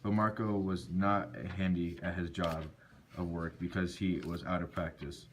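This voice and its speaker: A man's voice: sits low, flat delivery, moderate pace. A man reading scripted storybook narration for an amateur puppet show. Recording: noisy amateur recording with clicks.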